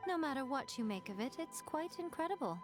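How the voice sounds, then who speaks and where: A woman's voice: sits high, very animated, a little fast. A woman voicing a sly, teasing fox-spirit shrine priestess in a game, wry and knowing. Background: game soundtrack music.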